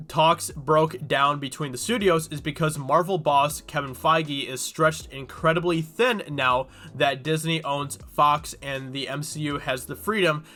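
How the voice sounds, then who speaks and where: A man's voice: a little high, sharp tone. A man with a cold and a congested voice, talking casually and rambling with many fillers as he reads through and comments on a news article. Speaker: YouTube host.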